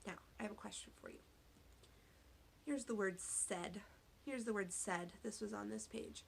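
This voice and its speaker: A woman teacher giving a read-aloud phonics lesson to young children, speaking deliberately, spelling out words and asking questions. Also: mid-range voice, creaky, a little hiss or hum. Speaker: elementary school teacher.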